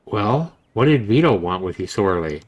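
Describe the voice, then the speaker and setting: A man's voice: fairly low pitch, big pitch swings, normal speed, dull audio. A man voicing a weary, skeptical young man in a visual novel, subdued and questioning. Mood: dismissive.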